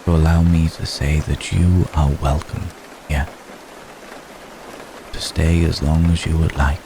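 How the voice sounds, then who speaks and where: A man playing a centuries-old vampire gentleman in an intimate ASMR roleplay, tender, protective and world-weary, speaking formally and gently with long pauses. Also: extremely low pitch. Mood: tender, reassuring. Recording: steady rain noise behind voice. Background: rain falling.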